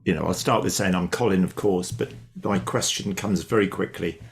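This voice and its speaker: A man's voice: sits low, moderate pace, creaky. A man taking part in an online workshop over a video call, speaking earnestly and directly. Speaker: workshop participant. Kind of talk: casual personal sharing.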